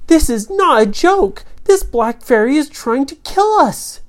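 A woman voicing an excitable, earnest young boy in a children's story, speaking in exclamations. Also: high-pitched, a little slow, pitched above the performer's own voice. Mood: alarmed.

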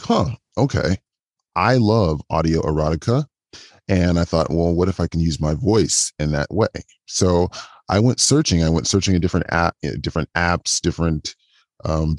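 Man with a pitched-down, modulated voice. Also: dry recording. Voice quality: calm, inviting. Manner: chatty, with frequent restarts and repetitions. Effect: voice modulated lower. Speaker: podcast guest, audio erotica podcaster. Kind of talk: casual interview chat.

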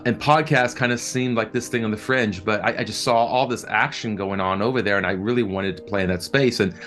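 A man's voice: fairly low pitch, a bit bright. A man answering interview questions as a producer, conversational and enthusiastic, often repeating words as he thinks. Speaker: film and audio drama producer being interviewed.

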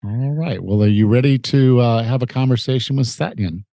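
A man's voice: medium pitch, moderate pace, vocal fry, mellow, no echo, clean studio sound. A middle-aged man with a slightly nasal, stuffed-up voice hosting a conversational podcast. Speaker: podcast host and fitness coach.

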